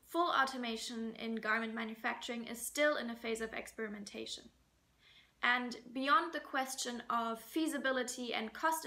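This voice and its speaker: Young woman doing a prepared talk, kinda high crisp bright voice, articulate and formal. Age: 20s. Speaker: fashion designer giving a keynote. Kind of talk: prepared keynote presentation. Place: indoors, plain room at home.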